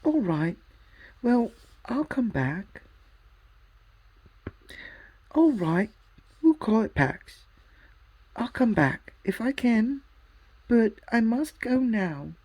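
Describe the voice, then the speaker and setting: A woman voicing a prim, sharp-tongued young English girl in a storybook reading, cool and sarcastic. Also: a little high, very animated, takes it slowly, long pauses, slightly rough, voice pushed up, full character voice. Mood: relenting.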